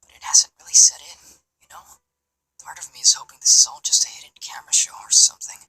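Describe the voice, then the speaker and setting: Nervous young college guy, acted by a man, game character, whispery and bright, timid. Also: fast talker, poor audio. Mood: quiet, uneasy. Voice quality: breathy, whispery. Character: nervous young male college student, soft and anxious.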